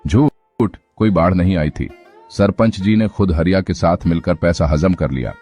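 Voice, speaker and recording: A man playing an honest, defiant young villager in a cartoon story, speaking in an accusing, forceful manner. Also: sits low, moderate pace, soft background music. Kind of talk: Hindi moral-story cartoon dialogue.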